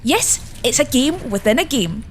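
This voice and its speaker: A woman's voice: high-pitched, expressive, moderate pace, a little hiss or hum. A woman narrating a scripted video game list as its presenter.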